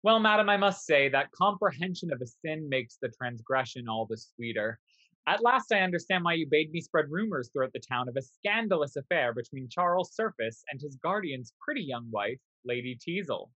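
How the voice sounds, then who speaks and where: Man playing a sly, husky henchman, lively pitch. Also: moderate pace, no room sound, full character voice. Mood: insinuating. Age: young adult. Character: sly, obsequious male schemer and henchman. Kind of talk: period stage comedy acting. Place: indoor room, performing on video call. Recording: dull, lo-fi video-call audio.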